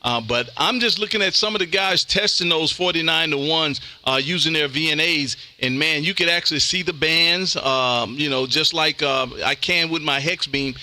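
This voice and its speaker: A high-pitched American man with a very bright, forceful voice chats casually as a ham radio host. His talk is folksy and full of radio jargon. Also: normal speed, hardly any pauses. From Texas, United States. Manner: folksy, says "man" often, radio call-sign jargon. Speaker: amateur radio livestream host.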